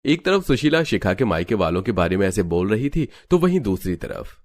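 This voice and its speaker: A man's voice: lowish voice, very animated, fairly quick. A man reading scripted narration for a story.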